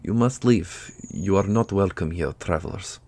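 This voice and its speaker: A man's voice: low voice, moderate pace, darkish tone. A man playing an earnest, formal and guarded warrior in a fantasy audio roleplay. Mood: stern.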